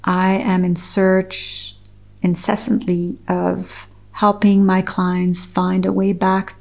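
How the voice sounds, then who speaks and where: A middle-aged woman with a French accent, a French native speaker from Switzerland, reading her own personal essay aloud in a slow, measured, earnest way. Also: medium pitch, a little mellow. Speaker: author reading her article.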